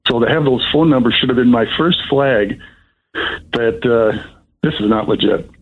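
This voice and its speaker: An older man, sixties or above, giving an unscripted, rambling personal account as a caller, in a halting way. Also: mid-range voice, husky, phone-quality sound. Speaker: caller telling of being scammed.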